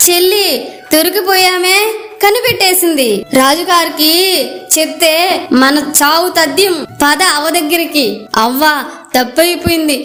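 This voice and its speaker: A woman's voice: very high voice, a little slow, pushed and bright, full character voice. A woman voicing a guilty, frightened young maidservant in a moral story cartoon, urgent and pleading. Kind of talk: animated moral story character dialogue. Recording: background music.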